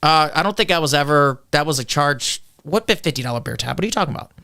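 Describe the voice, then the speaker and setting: Defensive candidate guest: man with a loud, clear, brisk voice. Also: a little high, lively intonation. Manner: combative, argumentative, lectures on legal details. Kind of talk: defensive podcast interview.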